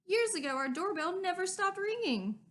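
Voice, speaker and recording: A woman reading a storybook aloud, voicing a gentle, welcoming elderly woman whom children take for a witch. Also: very high voice, lively intonation, normal speed, pitched above the performer's own voice. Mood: wistful.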